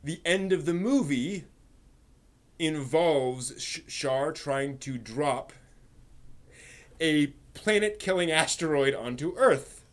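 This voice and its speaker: Higher-voiced man giving a casual review. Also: expressive, slow. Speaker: host reviewing anime on a live stream. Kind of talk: casual film review, explanatory.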